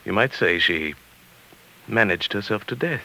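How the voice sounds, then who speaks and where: Meek henpecked professor, deep but bright voice, kinda hesitant and formal, old 40s radio play. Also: male voice, expressive, measured pace, slight background noise, heavily acted, poor audio. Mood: dry, musing. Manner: hesitant, mild, formal academic. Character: meek, henpecked middle-aged professor of botany, quietly scheming. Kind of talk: 1940s radio drama acting. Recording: vintage 1940s radio recording.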